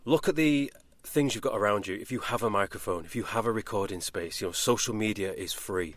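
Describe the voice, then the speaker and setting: A man's voice: fairly low pitch, a little fast, no room sound. A man co-hosting a podcast, talking informally and giving his opinions in an encouraging way. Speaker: podcast co-host, voice actor. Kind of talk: casual podcast chat.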